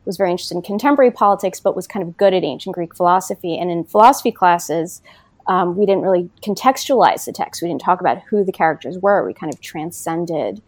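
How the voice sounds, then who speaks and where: A woman with a fairly low voice speaks as an academic guest on an interview podcast. She explains her ideas thoughtfully, pausing often with ums and you knows. The recording is dry, with no room sound. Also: no echo. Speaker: author, political science professor.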